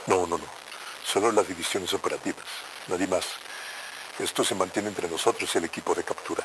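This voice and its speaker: Low-pitched male voice, man acting as stern secretive boss, curt commanding orders, analog horror drama, noisy recording. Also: fairly even intonation, a little fast, lightly acted. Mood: stern, urgent. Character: stern secretive male director of a shady organization.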